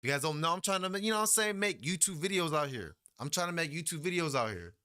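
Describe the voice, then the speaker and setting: A man's voice: a little high, lively intonation, quick pace, pushed and bright, clear, resonant tone. A young man giving a casual, slangy reaction commentary as a YouTuber, laughing often and rambling into asides.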